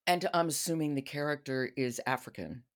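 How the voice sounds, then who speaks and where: A woman's voice: rumbling low, a little fast. A woman hosting a podcast, chatting casually about books. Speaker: podcast host. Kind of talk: casual book chat.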